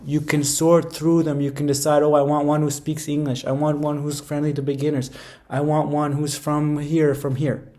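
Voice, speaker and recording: A man's voice: a little high, flat delivery, moderate pace, warm tone. A man giving casual, rambling how-to advice, speaking informally and emphatically and often repeating phrases for stress. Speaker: language-learning video creator.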